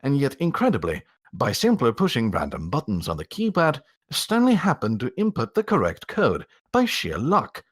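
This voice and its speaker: A man reading a story aloud as a voice actor, with a theatrical, expressive storytelling delivery. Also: medium pitch, moderate pace, hardly any pauses, slightly rough. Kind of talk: dramatic storytelling narration.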